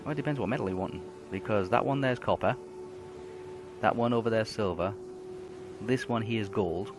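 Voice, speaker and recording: Deep-voiced man running a tabletop game. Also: background noise. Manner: halting, lots of ums and repeated words. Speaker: game master. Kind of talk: narrating a tabletop game, describing scenes.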